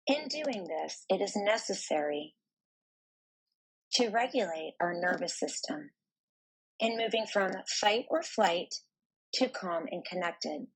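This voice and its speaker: A woman narrating a guided meditation in a soft, soothing, deliberate manner with pauses between phrases. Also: mid-range voice, animated, husky, low-quality recording.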